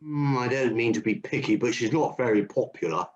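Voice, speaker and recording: A man's voice: medium pitch, fairly quick, close to an everyday voice. A man playing Hercules, a keen, overconfident hero looking for work in a comic radio play. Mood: earnest. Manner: cheerfully overconfident, matter-of-fact. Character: Hercules, strong, keen, job-hunting hero. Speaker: job seeker. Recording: dull lo-fi audio.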